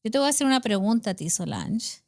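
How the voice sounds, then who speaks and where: A woman's voice: a little high, big pitch swings, fast talker, no echo, clean studio sound. A middle-aged woman with a Chilean accent, hosting a casual podcast chat and telling stories with frequent tag questions. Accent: Chilean Spanish. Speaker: podcast host.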